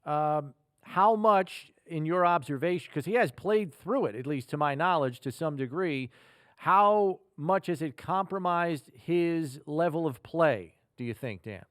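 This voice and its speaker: A man hosting a sports podcast, asking long, halting questions built up in pieces in a conversational way. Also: a little high, animated, no echo, clean studio sound.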